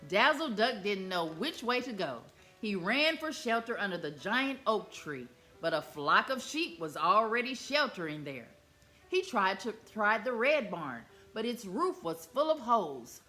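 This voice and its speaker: A woman reading a children's picture book aloud in an expressive, animated way. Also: medium pitch, a little slow, soft background music. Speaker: storyteller.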